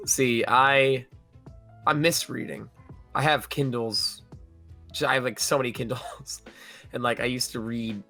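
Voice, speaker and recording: A young man guesting on a podcast with a hoarse voice, telling stories in an animated, profane way. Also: medium pitch, unhurried, long pauses, faint music behind. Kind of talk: casual chat.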